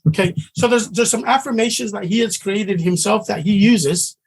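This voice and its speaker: A man's voice: high-pitched, normal speed, breathy edge. A middle-aged man from Samoa, teaching a trading class in an earnest, conversational way and often restarting his sentences. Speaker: trading instructor.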